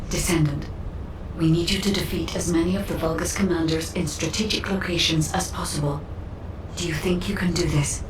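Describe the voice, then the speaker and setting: A woman voicing a serious, thoughtful military intelligence analyst in a video game, composed, formal and deliberate. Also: lightly acted. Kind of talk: scripted video game dialogue. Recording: echoey, distant, noisy game audio with rumble.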